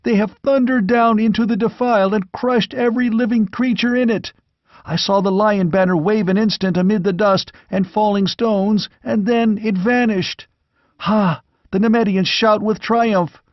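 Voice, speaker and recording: A man doing a frantic young squire, high and urgent. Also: fairly even intonation, normal speed, dry recording, close to an everyday voice. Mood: anguished. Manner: breathless, urgent battle reporting. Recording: dull lo-fi recording.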